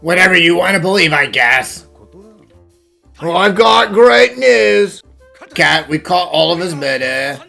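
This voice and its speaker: A woman voicing an exasperated, skeptical police inspector in an animated mystery fan dub, with a blunt, grumbling delivery. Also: on the low side, measured pace, gravelly, heavily acted. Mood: dry, skeptical. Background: background music.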